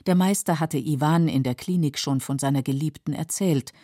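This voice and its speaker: Low, husky female narrator. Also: dark tone, no echo, studio quality. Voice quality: husky, creaky. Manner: measured, explanatory. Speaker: narrator of literary radio feature. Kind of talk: scripted documentary narration.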